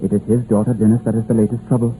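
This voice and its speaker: An actor playing a blunt, gloomy Welsh village coal miner in a 1950s radio drama, speaking in lilting dialect phrasing. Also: male voice, on the low side, level tone, rapid-fire, close to an everyday voice. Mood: grim, insistent. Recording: muffled, faintly noisy old radio recording.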